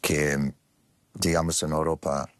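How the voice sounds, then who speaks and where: A man's voice: extremely low pitch, flat delivery, takes it slowly, no echo. A man whose first language is German, a choreographer answering interview questions in an emphatic way, repeating phrases and restarting often.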